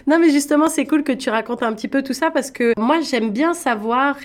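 A woman's voice: high-pitched, fairly quick. A woman hosting a casual interview, asking friendly questions that prompt her guest to talk. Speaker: host.

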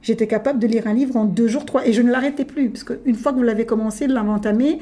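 A middle-aged woman, a native French speaker, talking as a guest about her contest in an emphatic, animated way. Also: on the high side, quick pace. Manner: emphatic, animated, addresses listener directly. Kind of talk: casual interview talk.

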